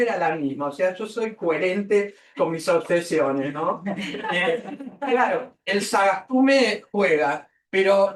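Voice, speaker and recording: A woman with a Buenos Aires accent moderating a seminar, introducing panelists informally and haltingly, with frequent asides. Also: lowish voice. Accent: Argentine, Buenos Aires. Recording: echoey room, far from the mic.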